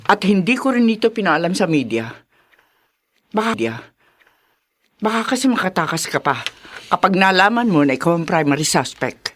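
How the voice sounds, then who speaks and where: Stern filipino police chief, radio drama, high voice that swings a lot, very emphatic. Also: male voice, sing-song, moderate pace, pauses often, fairly bright tone, full character voice. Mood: accusing. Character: stern, unbending police chief enforcing the law. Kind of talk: Tagalog radio drama acting.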